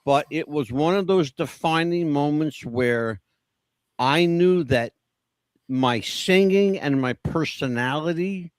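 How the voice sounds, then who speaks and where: A man's voice: a little high, slow, no room sound. Chatty man, dry close sound. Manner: chatty, storytelling, self-deprecating. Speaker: podcast co-host. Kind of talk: casual chat about favorite songs.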